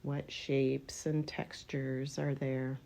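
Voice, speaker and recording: A woman leading a guided yoga nidra relaxation, speaking in gentle, spaced-out phrases as she gives unhurried instructions. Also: very deep voice, flat delivery, gravelly.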